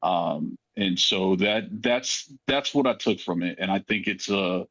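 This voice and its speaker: A man who works as a voice actor, speaking off the cuff in a thoughtful, halting way as he reflects during a cast discussion. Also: low-pitched, fairly bright tone, no room sound, lo-fi sound. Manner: thoughtful, halting, searching for words. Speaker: voice actor in a fiction podcast.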